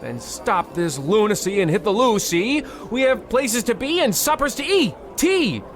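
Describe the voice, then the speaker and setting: A man's voice: high voice, very animated, unhurried, faint music behind. A man playing a fussy, pompous, scolding husband who blusters through an old-time comedy radio play. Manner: pompous, blustering, stammering indignation.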